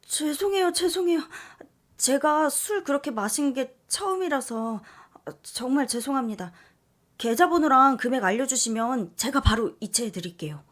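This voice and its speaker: A woman voicing a flustered, apologetic young woman office worker who talks quickly and pitches up. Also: lively intonation, a bit bright. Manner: flustered, high and urgent.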